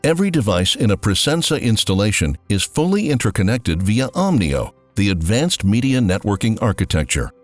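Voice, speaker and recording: A man's voice: on the low side, very animated, normal speed, few pauses, dry recording. A man reading scripted narration for a corporate promotional video. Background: music.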